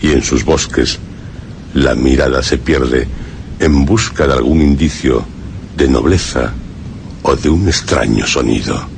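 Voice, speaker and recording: A man's voice: a bass voice, unhurried, gravelly, pauses often, a little hiss or hum, exaggerated voice. A man playing a solemn sage of old in a radio drama, declaiming in a grandiose, poetic, reverent way.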